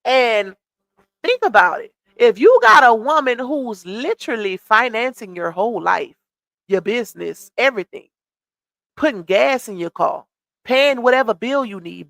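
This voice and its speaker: A woman's voice: a little high, a bit bright, no echo. Chatty woman hosting a gossip live stream. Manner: chatty, reads out comments and greets viewers. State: losing her voice.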